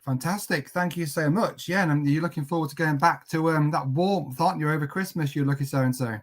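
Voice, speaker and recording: Upbeat male panel host, slightly high voice, jokey and warm with guests. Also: brisk. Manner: jovial, teasing. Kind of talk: hosting a casual online panel.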